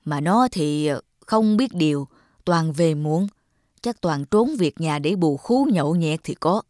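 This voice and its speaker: A woman's voice: low-pitched, animated, unhurried, dry recording, studio quality. A woman playing a stern elderly cartoon grandmother and mother-in-law who scolds bluntly and frets. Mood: nagging. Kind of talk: cartoon voice acting. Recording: loud background music.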